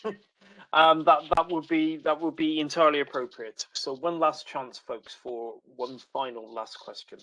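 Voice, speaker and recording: A man's voice: fairly high pitch, steady tone, normal speed, pushed and bright, rough recording. A man hosting a history talk, moderating informally in a polite, tentative way. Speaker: host of an online history talk.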